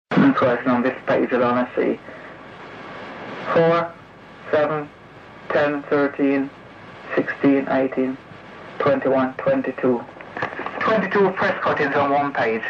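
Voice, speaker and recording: A man delivering a rambling, confrontational, sweary monologue over CB radio, repeating accusations and throwing out challenging tag questions. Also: mid-range voice. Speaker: CB radio operator. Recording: noisy, muffled CB radio tape recording.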